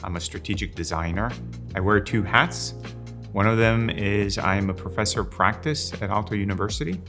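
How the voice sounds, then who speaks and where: An older man with a deep, gravelly voice talks in a calm, reflective way. He slows down to stress his key words, thinking each point through. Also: over music. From Finland, grew up in Rome. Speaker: strategic designer and professor. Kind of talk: reflective interview monologue.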